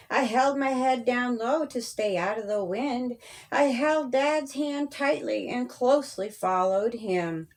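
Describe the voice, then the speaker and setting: Slow, higher-voiced woman reading a rhyming story. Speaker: author reading her own story. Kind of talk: children's story read aloud in rhyming verse.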